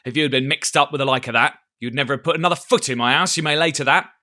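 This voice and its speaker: A man voicing a blustering, cunning old one-legged pirate cook who shouts in salty sailor talk. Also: medium pitch, racing, no echo, clean studio sound, pitched above the performer's own voice. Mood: stern.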